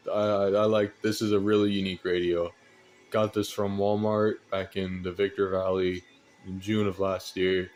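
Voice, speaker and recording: Monotone American man, slightly low voice. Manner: monotone, unhurried. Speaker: radio hobbyist presenter. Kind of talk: casual show-and-tell of a collection.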